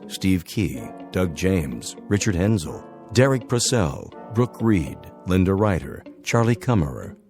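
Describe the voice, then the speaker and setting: A man's voice: low voice, darkish tone. A male announcer reading the end credits in a formal delivery.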